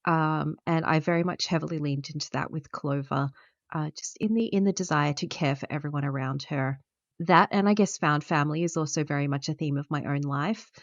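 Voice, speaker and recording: A woman answering interview questions in a casual, thoughtful way, often pausing with "um". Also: deep voice, no echo, dull audio. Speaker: guest player.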